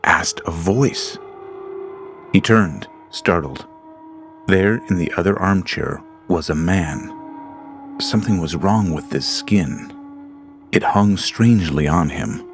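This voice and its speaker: A man with a very deep, gravelly voice reads a horror tale at a slow, deliberate pace. His measured, ominous delivery sits over a faint bed of creepy music. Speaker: narrator. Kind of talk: scripted horror narration.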